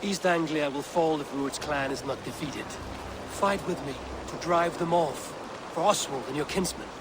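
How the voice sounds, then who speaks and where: An actor playing a resolute Viking war leader in a video game, blunt and commanding. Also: male voice, fairly high pitch, moderate pace, natural voice. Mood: earnest, firm. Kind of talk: video game drama acting. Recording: clear outdoor game ambience noise.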